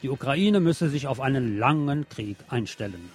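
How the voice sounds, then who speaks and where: A man's voice: mid-range voice, animated, moderate pace, breathy edge, soft background music. A man presenting on the radio, reading press articles aloud.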